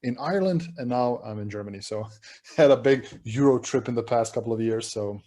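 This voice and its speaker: A man from Serbia, a relaxed, chatty conference speaker giving a talk over a video call. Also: medium pitch, a little fast. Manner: relaxed, chatty, self-deprecating.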